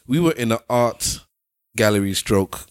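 A man with a lowish voice tells stories and argues points with emphasis during casual podcast banter. His voice sounds dry and close, with no room around it. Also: unhurried, clear, resonant tone, dry recording. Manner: emphatic, argumentative, animated storyteller. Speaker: podcast co-host.

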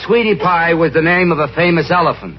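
An actor in a 1950s radio sitcom playing a fussy old music teacher who talks in a stammering, coaxing way. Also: male voice, on the high side, fairly quick, natural voice. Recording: dull lo-fi 1950s radio broadcast with hiss.

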